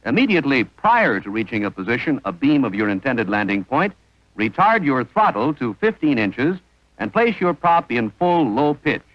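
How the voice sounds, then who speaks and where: A man's voice: medium pitch, very animated, moderate pace, no echo, lo-fi sound. A man narrating an instructional training film in a formal, precise manner on a vintage soundtrack.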